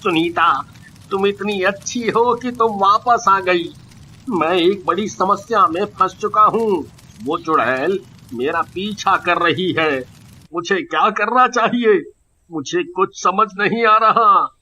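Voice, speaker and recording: A man's voice: sits high, takes it slowly, bright, forceful tone, a little hiss or hum, exaggerated voice. A man playing a frightened, pleading village merchant in a cartoon moral story, dramatic in delivery. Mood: earnest. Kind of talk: animated Hindi moral story acting. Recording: dull lo-fi audio.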